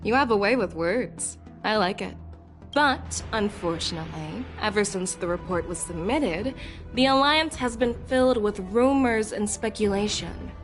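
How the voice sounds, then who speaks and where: A woman's voice: mid-range voice, unhurried, soft background music, lightly acted. A woman voice actor playing a probing, confident female general who questions people formally in a video game. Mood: frank. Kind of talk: scripted video game voice acting.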